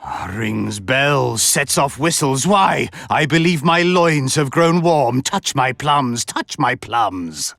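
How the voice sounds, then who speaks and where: A man's voice: mid-range voice, expressive, takes it slowly, gravelly, few pauses, fairly bright tone, no room sound, clean studio sound, full character voice. Flamboyant, swooping nobleman. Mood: lecherous, amused. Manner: theatrical, florid, pompous phrasing. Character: flamboyant, verbose, refined nobleman count, lecherous and sardonic. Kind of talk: video game cutscene voice acting. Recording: music behind the voice.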